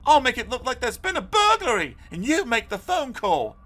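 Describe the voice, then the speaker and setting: Arthur, a man doing a high scheming boy. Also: big pitch swings, slow, pushed and bright, exaggerated voice. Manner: theatrical, spooky, bossy. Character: lonely, scheming boy who loves real mischief.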